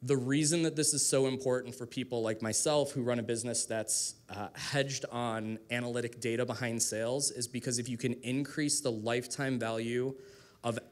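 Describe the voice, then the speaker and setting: A man presenting as a guest speaker to a group in a room on an event microphone, explaining things in a casual, informal, lightly salty way. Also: mid-range voice. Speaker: guest speaker, digital marketing strategist. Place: live talk to a group in a room.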